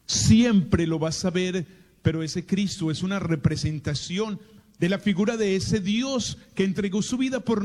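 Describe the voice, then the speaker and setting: Male Colombian priest, paisa accent, slightly high-pitched, dramatic storytelling sermon, very slow delivery. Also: darkish tone. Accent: Colombian paisa (Medellín).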